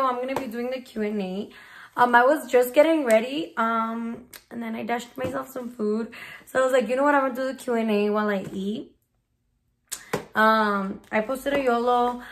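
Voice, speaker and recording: A woman's voice: on the high side, slight background noise. Chatty slangy young woman vlogger. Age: 20s. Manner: slangy chatty, drops Spanish words. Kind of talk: casual Q&A vlog. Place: small bedroom. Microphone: phone camera at arm's length.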